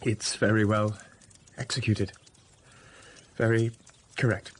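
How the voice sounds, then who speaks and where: A man's voice: on the low side, little pitch movement, slow, husky. An actor playing a stern, self-denying young clergyman in a period radio drama, speaking formally and measuredly. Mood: reserved.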